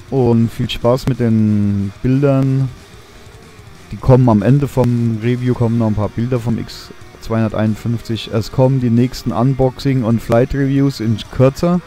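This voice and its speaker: Young guy doing a drone review voice-over, warm mellow tone, lots of ums, faint guitar behind. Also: mid-range voice. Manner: relaxed, enthusiastic, many fillers.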